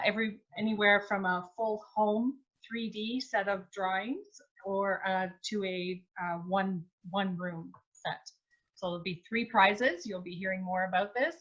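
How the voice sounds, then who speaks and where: A woman's voice: mid-range voice, a bit bright. Effusive woman host on a muffled call. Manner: upbeat, effusive praise. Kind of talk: live virtual launch hosting.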